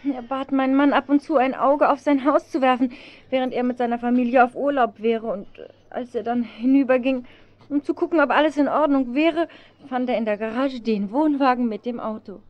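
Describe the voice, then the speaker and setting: Woman playing worried poor mom in a radio play, high plaintive voice, dull dry old recording. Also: steady tone, fairly quick, no room sound, natural voice. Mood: weary. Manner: earnest, pleading, plaintive. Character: frail, worried, poor wife and mother. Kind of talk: 1970s German radio play acting. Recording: dull vintage audio-drama recording.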